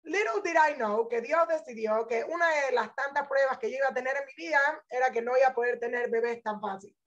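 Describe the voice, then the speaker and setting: A woman's voice: sits high, brisk. A woman, teaching through personal stories in an informal religious talk, dropping in English phrases. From born in Venezuela, grew up in Panama. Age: 30s. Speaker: Torah teacher. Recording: dull lo-fi audio.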